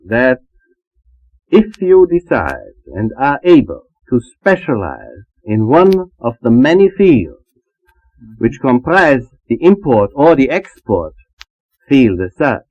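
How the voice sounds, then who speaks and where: Expressive man on a muffled old disc. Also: medium pitch, slow pace. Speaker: foreign trade expert on a discussion panel. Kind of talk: panel interview answers.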